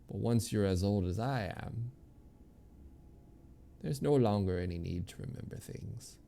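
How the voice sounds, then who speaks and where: A man's voice: lowish voice, a little slow, vocal fry, warm tone, slight background noise. A man voicing a kindly, wistful old woman, a former nursemaid and healer, in a story read aloud. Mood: fond, reminiscing.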